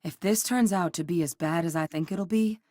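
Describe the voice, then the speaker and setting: A woman voicing a resolute, earnest military scientist in a video game, speaking in a serious, measured and articulate way. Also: on the low side, mellow, no echo, clean studio sound, close to an everyday voice. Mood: serious, businesslike. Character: resolute female military scientist and soldier, earnest, duty-bound. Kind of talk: acted game dialogue.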